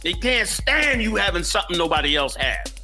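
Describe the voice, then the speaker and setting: A man's voice: sits high, sing-song, fairly quick, sharp tone, soft background music. A man from the Bronx, ranting emphatically as co-host in a casual commentary chat. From the Bronx, New York. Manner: heated, emphatic, preachy.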